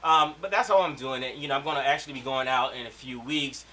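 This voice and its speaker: Easygoing man, bright voice, laughing a lot. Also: mid-range voice, quick pace. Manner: easygoing, laughs often, repeats words like "exactly". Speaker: guest filmmaker. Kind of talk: casual interview chat. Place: studio in Las Vegas.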